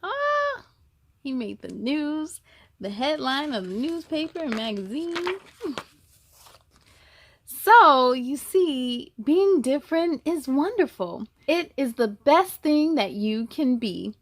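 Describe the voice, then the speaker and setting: High-pitched, unhurried woman reading a children's story. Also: dry recording. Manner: animated, exclaiming, gasping and musing, asking listeners questions. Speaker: story-time host reading a picture book aloud to children. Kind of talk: expressive children's read-aloud with chatty asides.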